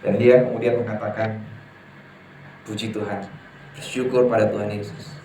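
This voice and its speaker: A man preaching a sermon to a congregation, lively and emphatic, often putting questions to his listeners. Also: on the low side, normal speed, a little hiss or hum. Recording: echoey hall from far off, faint ambience.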